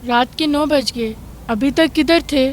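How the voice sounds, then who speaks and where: A woman's voice: high voice, measured pace, slight background noise. An actress playing a poor, hardworking housemaid, a caring wife and mother with a plaintive, homely scolding manner in a radio drama.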